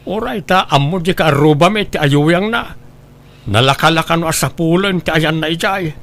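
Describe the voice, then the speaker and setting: Man acting an earnest, higher-pitched helpful relative. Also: normal speed, fairly bright tone. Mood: grave, earnest. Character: helpful, earnest younger kinsman bringing news. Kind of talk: radio drama acting.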